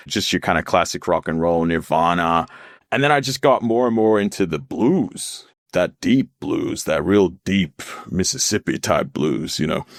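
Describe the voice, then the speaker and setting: Guy in his thirties, very deep and dry, loose chatty guest storytelling. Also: no room sound. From Australia. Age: 30s. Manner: relaxed, rambling, laughs often. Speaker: podcast guest. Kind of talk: casual storytelling interview.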